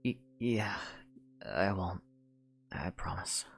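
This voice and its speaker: A man voicing a gentle young knight, speaking softly and hesitantly in a fan audio drama. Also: low-pitched, slow, gravelly, music in the background, heavily acted. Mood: sheepish. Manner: soft, hesitant, apologetic.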